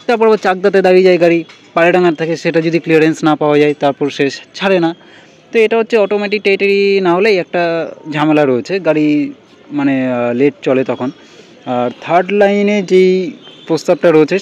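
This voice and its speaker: A man's voice: a little high, darkish tone, slight background noise. A man with a West Bengal accent giving casual, informal explanatory commentary as a train vlogger, repeating words for emphasis. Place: outdoors at a railway station.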